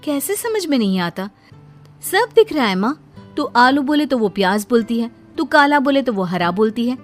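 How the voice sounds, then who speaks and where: A woman's voice: on the high side, very animated, normal speed, soft background music. A woman doing a teasing young daughter. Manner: teasing, mocking.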